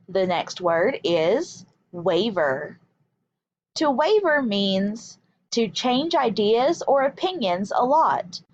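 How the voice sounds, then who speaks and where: A woman teacher reading aloud to children in an expressive, deliberate, emphatic way. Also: on the high side, highs cut off. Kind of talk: expressive children's read-aloud with vocabulary explanations.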